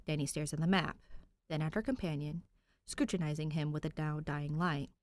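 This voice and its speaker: A woman with a slightly low voice narrates fiction in an expressive storytelling style. The recording is dry, with little room sound. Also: moderate pace, dry recording. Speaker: podfic narrator.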